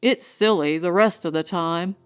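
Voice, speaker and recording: Woman playing Marsden, a slow, steady male colonist. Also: fairly low pitch, close to an everyday voice. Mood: firm, contented.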